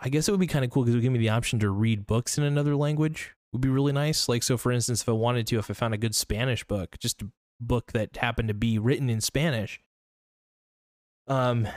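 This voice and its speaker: A man's voice: medium pitch, steady tone, fast talker, darkish tone, no room sound, studio quality. A young man hosting a casual solo podcast, rambling through his thoughts with lots of fillers and restarts. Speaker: podcast host.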